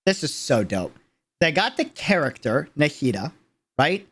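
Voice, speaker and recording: A young adult streamer giving casual, slangy, excitable livestream reaction commentary on a game video. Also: mid-range voice, unhurried. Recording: loud music behind the voice. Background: loud game trailer music.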